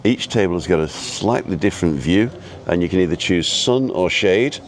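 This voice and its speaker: A man with an easygoing, chatty and halting way of talking, casually rambling as he hosts a live vlog. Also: low-pitched, lively intonation. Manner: easygoing, chatty, halting as he reads and answers viewer comments. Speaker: live-streaming vlogger and host. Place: outdoors at a restaurant overlooking the road and ocean.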